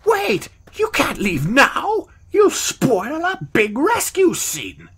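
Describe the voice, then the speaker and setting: A woman voicing a pompous, dandyish male Western lawman, theatrical and husky, in a cartoon comic dub. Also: high voice, wildly swooping pitch, takes it slowly, exaggerated voice. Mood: indignant.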